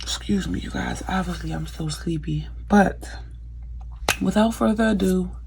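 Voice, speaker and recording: A woman's voice: on the low side, moderate pace, vocal fry, darkish tone, slightly rough. A young woman chatting casually through a craft how-to vlog, talking to her viewers with lots of fillers. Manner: chatty, many fillers, addresses viewers as "you guys". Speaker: craft vlogger.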